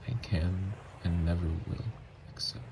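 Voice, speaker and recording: A man playing a tormented, lovestruck young vampire in an intimate ASMR roleplay, speaking in a slow, halting, hushed murmur. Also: deep voice, flat delivery, creaky, noisy background. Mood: tender, wistful. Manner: slow, halting, hushed confession with long pauses. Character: tormented, lovestruck newly turned male vampire, confessing tenderly. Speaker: ASMR voice actor.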